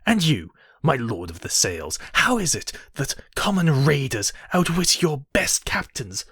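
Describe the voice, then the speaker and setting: A man playing a commanding, manipulative high mage villain in an ASMR roleplay, deliberate and imperious, with a breathy, husky voice. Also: sing-song, fairly bright tone, no echo, clean studio sound, full character voice. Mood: furious. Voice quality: breathy, husky, often dropping to whispers. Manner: imperious, deliberate, addressing listener as apprentice. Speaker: voice actor.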